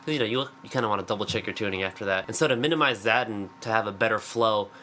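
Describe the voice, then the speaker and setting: A man's voice: lowish voice, very fast, sharp tone, slight background noise. A congested man with a cold, chatting casually and self-deprecatingly about songwriting with lots of fillers. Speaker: singer-songwriter. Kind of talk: casual vlog talk. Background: acoustic guitar.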